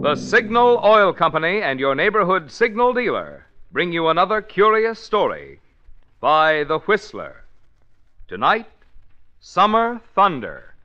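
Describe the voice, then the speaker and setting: A man's voice: sits high, wildly swooping pitch, measured pace, sharp tone. A man announcing in emphatic, punchy 1940s radio advertising style. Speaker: commercial announcer. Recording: dull vintage radio recording.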